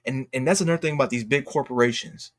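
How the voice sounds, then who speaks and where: A man giving casual, opinionated livestream commentary in a blunt, emphatic way, haltingly repeating his phrases for emphasis. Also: mid-range voice, fairly bright tone, no room sound, studio quality. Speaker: streamer and commentator.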